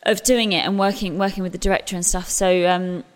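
Chatty woman in her thirties, low voice. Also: steady tone, a little fast, clean tone, in a large hall. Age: 30s. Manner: chatty, self-deprecating, frequent restarts and laughs. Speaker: musical theatre actress. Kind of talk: interview conversation.

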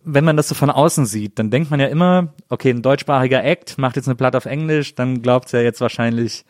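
A man's voice: medium pitch, a little fast, darkish tone, no room sound, studio quality. A middle-aged man hosting an interview in his living room, talking with enthusiasm and rambling through many fillers. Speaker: host. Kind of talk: casual interview chat.

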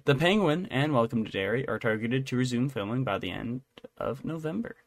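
A man's voice: medium pitch, expressive, a little fast. Man, podcast co-host, casual news chat, irreverent and joking, mid-range voice, noisy background.

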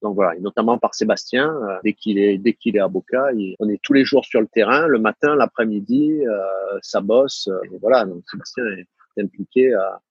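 A middle-aged man from the south of France with a southern French accent, answering interview questions in a halting way, with many fillers and repeated words. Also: fairly low pitch, husky, no echo, lo-fi sound. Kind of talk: casual interview talk. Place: living in the United States.

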